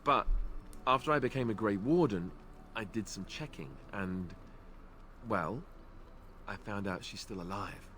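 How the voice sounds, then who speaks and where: An awkward, earnest young knight voiced by a man talks in a hesitant, self-effacing ramble in a game. His voice sits a little low. A faint hum runs behind him. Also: unhurried, close to an everyday voice. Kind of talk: game character dialogue.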